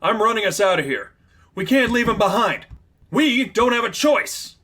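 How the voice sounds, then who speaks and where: A man voicing an excitable young male speedster thief with urgent, exclamatory delivery in a story. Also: mid-range voice, moderate pace, breathy edge, pitched above the performer's own voice, full character voice. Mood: urgent alarm.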